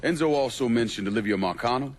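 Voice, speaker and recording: An actor playing a stern, determined young crime boss in a video game, terse and controlled. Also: male voice, medium pitch, fast, slight background noise, lightly acted. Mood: grim. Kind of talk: dramatic video game dialogue.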